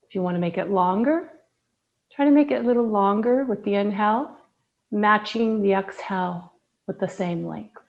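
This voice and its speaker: A woman teaching yoga, softly and soothingly guiding a breathing meditation with long pauses. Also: medium pitch, creaky, lo-fi sound. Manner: slow, soft, soothing guidance with long pauses.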